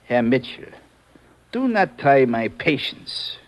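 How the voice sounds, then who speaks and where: An actor playing a sly, greedy broker with a German accent in a 1950s radio drama, suave, smug and formal. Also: male voice, mid-range voice, very animated, slow. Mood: impatient.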